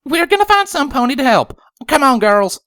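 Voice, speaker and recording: A woman voicing an excitable, folksy little pony filly in a dramatized story reading. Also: sits high, moderate pace, no room sound, clean studio sound. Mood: nervous reassurance.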